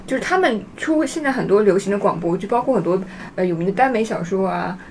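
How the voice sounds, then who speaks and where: A woman's voice: medium pitch, lively intonation, fast, a little hiss or hum. A woman hosting a casual podcast discussion.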